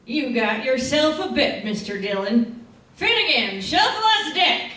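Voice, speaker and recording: A woman's voice: high-pitched, sing-song, unhurried, background noise. A woman playing a brash, hot-tempered, boastful gunslinger with a folksy drawl in an old-time radio western. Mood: bold, challenging. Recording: very echoey room, distant mic.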